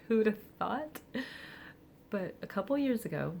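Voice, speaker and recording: A woman of forty speaking candidly and reflectively in a personal vlog, with soft laughs and hesitant fillers. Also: mid-range voice, big pitch swings, slow pace, creaky, slight background noise. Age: 40s. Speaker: vlogger. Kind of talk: personal confessional monologue.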